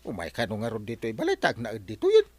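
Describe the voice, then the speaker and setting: A man's voice: lowish voice, huge pitch swings, fast, darkish tone, no room sound. Man playing a blustering, wildly swooping Ilocano husband. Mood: indignant. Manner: blustering, stammering exclamations. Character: grumbling, cheeky husband who shirks chores. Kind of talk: comic regional audio drama acting.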